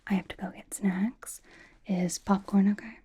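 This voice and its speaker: A woman playing a bossy, playful young female pop idol in a whispered ASMR roleplay, giving slow, mock-stern instructions in a breathy whisper. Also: mid-range voice, steady tone, mellow. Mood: easygoing, friendly.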